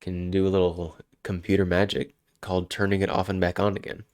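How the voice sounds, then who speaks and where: A man's voice: low voice, completely flat delivery, a little fast, a little mellow, no room sound, studio quality. A man with a head cold, sounding congested, chatting casually and rambling on a live stream. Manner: rambling, self-interrupting, chuckling.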